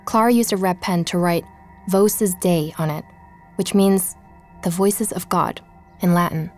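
Actress as female investigative podcaster, lowish voice, measured, confiding, scripted drama narration, music underneath. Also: steady tone, darkish tone, close to an everyday voice. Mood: reflective, intent. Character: woman journalist narrating her investigation, curious and intent.

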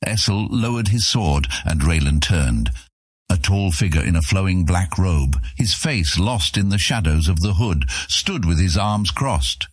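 A man with a deep, husky voice reading scripted fantasy audiobook narration. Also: lively intonation, unhurried, no room sound, studio quality.

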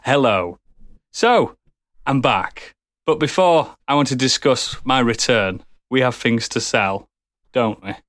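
A man's voice: mid-range voice, expressive, slow, a bit bright, no echo, clean studio sound. A man hosting a podcast in casual chat, jokey and laughing often. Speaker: podcast host.

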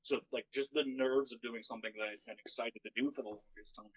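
A man who does stand-up comedy, talking with wry, deadpan storytelling in a fairly monotone voice. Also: medium pitch, fast talker, husky, muffled recording. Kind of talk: casual podcast chat.

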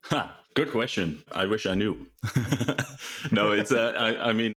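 A man with a Dutch accent, a research lead talking casually as a podcast guest, relaxed and thoughtful with small restarts. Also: on the low side, measured pace, husky. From Netherlands.

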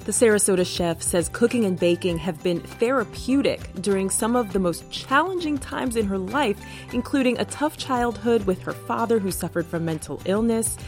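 A woman hosting a podcast, reading a scripted introduction in a measured way. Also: medium pitch, darkish tone. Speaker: podcast host. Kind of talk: scripted narration. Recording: faint music underneath.

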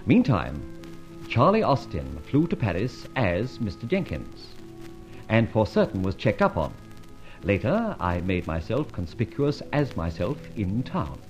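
An actor playing a debonair, breezy gentleman adventurer in an old radio serial, with brisk, clipped, theatrical speech. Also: fairly low pitch, wildly swooping pitch. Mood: wry, self-satisfied. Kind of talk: old radio serial acting and first-person narration. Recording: dull vintage radio recording, music behind.

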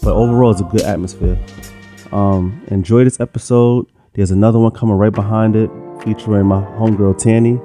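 Podcast host: nasal New York man with a lowish voice, casual and slangy, with a music bed behind him. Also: steady tone, a little fast, mellow. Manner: laid-back, slangy, loose. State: nasal from a sinus infection.

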